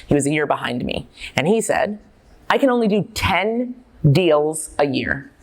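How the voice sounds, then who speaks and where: A woman's voice: low voice, big pitch swings, a little slow. A middle-aged woman speaking emphatically and candidly in an interview, telling stories as a company founder and dietitian. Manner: emphatic, self-assured, candid. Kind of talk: on-stage interview, personal storytelling.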